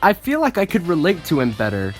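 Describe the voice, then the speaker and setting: A mid-pitched speaker reads aloud in an amused, informal way, prone to giggles. The delivery moves between reading and casual banter. Faint guitar-led music plays behind the voice. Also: wildly swooping pitch, quick pace. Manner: giggly, breaks into laughter while reading. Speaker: video creator reading a script aloud. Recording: background music under the voice.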